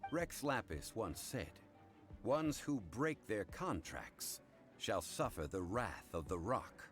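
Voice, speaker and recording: A deep, formal keeper of contracts. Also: male voice, big pitch swings, full character voice. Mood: stern. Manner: slow, measured and formal. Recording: played game audio with faint music.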